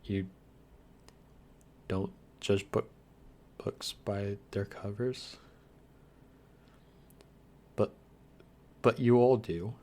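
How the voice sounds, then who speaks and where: A man playing a shy, lonely, youthful vampire lord in an ASMR roleplay, stammering earnestly with hesitant, softly formal manners. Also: lowish voice. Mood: wary, questioning. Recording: clear background hiss and noise.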